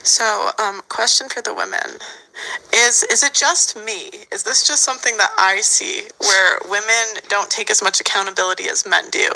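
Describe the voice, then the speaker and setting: A woman's voice: medium pitch, moderate pace, creaky, bright, forceful tone, low-quality recording. A woman hosting a podcast panel, putting direct questions to her guests. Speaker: podcast host. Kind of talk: moderating a panel discussion.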